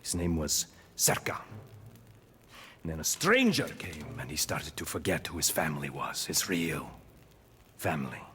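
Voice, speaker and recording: An actor playing a smug, treacherous mercenary boss in a game, theatrical and oily. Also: male voice, extremely low pitch, big pitch swings, unhurried, pushed and bright, slight background noise, full character voice. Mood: smug, mocking. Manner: oily mock politeness, theatrical swings. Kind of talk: video game cutscene acting.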